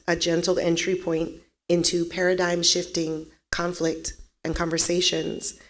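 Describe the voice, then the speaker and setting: A woman moderating a community panel, reading earnest, measured opening remarks. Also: fairly low pitch.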